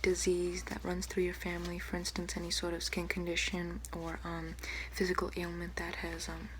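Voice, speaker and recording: A young woman speaking softly and often whispering in an ASMR doctor roleplay, hesitant, unhurried and polite. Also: lowish voice, completely flat delivery. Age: young adult. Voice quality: soft, breathy, often whispering. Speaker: ASMR creator playing a doctor. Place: small room at home, indoors. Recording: noticeable background hiss, home recording.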